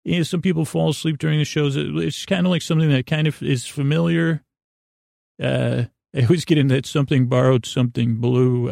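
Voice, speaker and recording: Creaky-voiced, meandering male podcast host. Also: medium pitch, darkish tone, dry recording, studio quality. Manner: halting, digressive, self-deprecating, goofy. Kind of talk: meandering, rambling monologue for a bedtime podcast.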